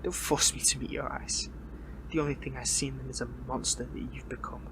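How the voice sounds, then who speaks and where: A man playing a bitter, defiant captured superhero in an audio roleplay, speaking in a strained, halting, taunting way. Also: medium pitch, close to an everyday voice, low-quality recording. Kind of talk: dramatic audio roleplay monologue.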